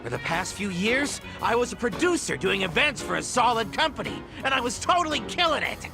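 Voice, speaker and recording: An actor playing a hot-tempered, aggrieved ex-producer in a video game, whining and ranting indignantly. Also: male voice, very high-pitched, big pitch swings, a little fast, gravelly, heavily acted. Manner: whiny, indignant, foul-mouthed ranting. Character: hot-tempered, aggrieved fired-producer man working as a waiter. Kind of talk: dramatic video game voice acting. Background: music.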